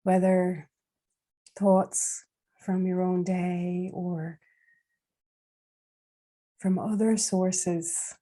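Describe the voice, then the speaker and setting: A woman leading a guided meditation in a soft, breathy, soothing voice, leaving long unhurried pauses. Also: on the low side, little pitch movement.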